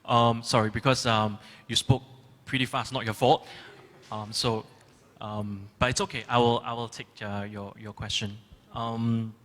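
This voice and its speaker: Male curator, measured and halting, mid-pitched, in a reverberant auditorium. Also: level tone, fairly bright tone. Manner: thoughtful, hesitant, many ums. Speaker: curator on a panel. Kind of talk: panel discussion answers. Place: large gallery auditorium. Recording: long reverb of a large hall.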